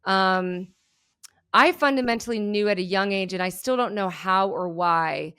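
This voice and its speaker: A woman's voice: mid-range voice, measured pace, bright, forceful tone, clear, resonant tone. A middle-aged woman from Germany, a native German speaker, telling her story with energy and candor as a podcast guest. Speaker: guest, keynote speaker and entrepreneur. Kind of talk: podcast interview.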